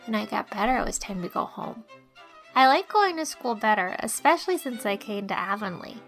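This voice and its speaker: A woman's voice: on the high side, creaky, fairly bright tone. A woman playing an earnest, clumsy schoolgirl, reading her composition matter-of-factly for an audiobook.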